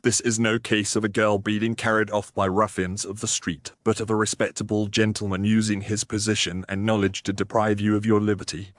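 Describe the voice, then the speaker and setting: A man's voice: fairly low pitch, flat delivery, brisk, few pauses, no room sound, close to an everyday voice. A British man playing a stern Victorian police inspector, speaking formally and officially in an audio mystery. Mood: stern, grave. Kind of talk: audiobook character acting.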